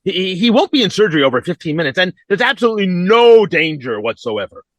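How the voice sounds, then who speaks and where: A man's voice: high voice, sing-song, fast, dry recording. A man playing a bumbling, cheerfully callous doctor with a hesitant stammer in an old-time radio comedy. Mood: breezy, reassuring.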